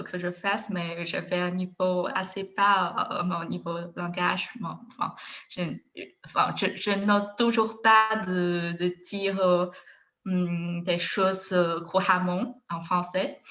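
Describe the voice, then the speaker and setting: A woman with a Chinese accent and a fairly low, even voice speaks casually as a non-native speaker, a native of China. She feels her way through her sentences, searching for words and often giggling. The sound is a little dull and lo-fi. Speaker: interview guest, former engineer turned Chinese teacher. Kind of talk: casual personal storytelling.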